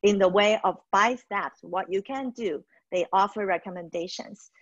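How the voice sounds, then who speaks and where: A woman's voice: mid-range voice, normal speed, no echo. A woman from Taiwan presenting in a webinar over a video call, hesitant, with many fillers and restarts. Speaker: webinar speaker, HR trainer. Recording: dull, dry video call audio.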